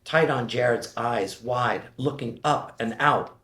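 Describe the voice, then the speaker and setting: A man's voice: mid-range voice, slow pace, a bit bright. Man reading stage directions in a roomy space. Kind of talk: table read narration of screen directions. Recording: roomy echo.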